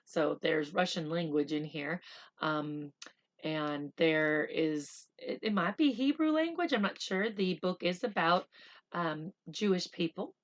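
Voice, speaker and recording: A woman reading a picture book aloud like a teacher, slow and expressive in the story, chattier when she stops to explain words simply for children. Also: lowish voice. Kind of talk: read-aloud storytelling with casual explanatory asides.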